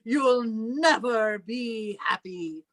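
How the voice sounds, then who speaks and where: A high, slow, theatrical voice for a wealthy, vengeful older spinster , acted by a woman. She is imperious and controlling, with the heavy emphasis of old time radio melodrama. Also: lively intonation, full character voice. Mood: bitter, furious.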